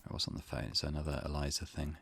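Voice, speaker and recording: A man's voice: rumbling low, little pitch movement, fast talker, vocal fry, a bit bright, husky, no room sound, clean studio sound. A man hosting a let's play, reading game text aloud and adding his own commentary.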